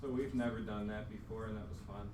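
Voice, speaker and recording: A man's voice: fairly low pitch, fairly even intonation, fast talker. A man in a band chatting casually with the audience between songs at a live show. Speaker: band member introducing songs. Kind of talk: casual stage banter. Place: live performance venue, recorded from a distance. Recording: echoey live room, distant mic, noisy.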